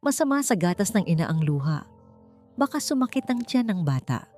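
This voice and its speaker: A woman voicing a kindly, motherly older neighbor woman who speaks gently and soothingly in an audiobook drama. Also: mid-range voice, big pitch swings, fairly quick, no echo, faint music behind, close to an everyday voice. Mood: gentle, comforting.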